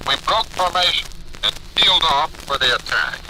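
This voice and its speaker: A man's voice: on the high side, expressive, moderate pace. An American man narrating a scripted 1950s radio combat report as a military correspondent. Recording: noisy old field recording on disc.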